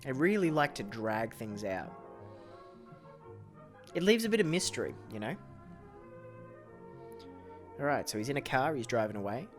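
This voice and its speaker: A man's voice: mid-range voice, sing-song, moderate pace, long pauses, soft background music. A man hosting a reaction video, commenting casually and jokingly with sarcastic, cheeky asides.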